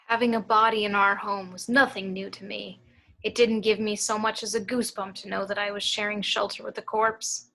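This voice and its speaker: A woman playing a haunted storyteller, grave and hushed, recalling a sinister childhood in a table read. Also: medium pitch, flat delivery, brisk, vocal fry. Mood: reflective. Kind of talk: dramatic monologue in amateur table read.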